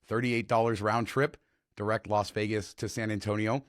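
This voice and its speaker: A man hosting a travel podcast, chatty and enthusiastic, his voice slightly hoarse, on a close podcast mic. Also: lowish voice, little pitch movement, moderate pace, no echo. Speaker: podcast host. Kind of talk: casual travel podcast chat.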